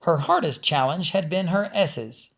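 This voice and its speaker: A man's voice: a little high, animated, unhurried, a bit bright. A man reading a family story aloud as scripted audiobook narration. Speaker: author reading own audiobook.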